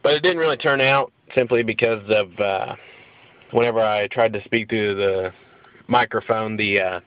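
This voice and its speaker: A man's voice: fairly low pitch, normal speed, dry recording. A man giving a casual hands-on how-to demonstration in an informal, blunt, plain-spoken way, recorded on a handheld phone in and around a pickup truck. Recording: dull lo-fi phone audio, vehicle noise.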